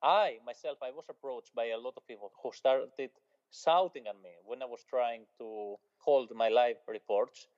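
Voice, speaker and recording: A man from Greece with a Greek accent, a journalist giving an interview, speaking in short measured phrases with pauses. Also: mid-range voice, darkish tone. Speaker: journalist guest. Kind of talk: interview answers, eyewitness account. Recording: slightly dull lo-fi audio.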